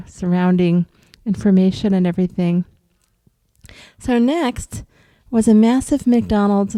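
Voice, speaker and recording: A woman from upstate New York with a cold, casually telling viewers about an experience in a rambling way, with ums and soft laughs. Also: on the low side, dry recording. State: has a cold. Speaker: vlogger recounting astral travel.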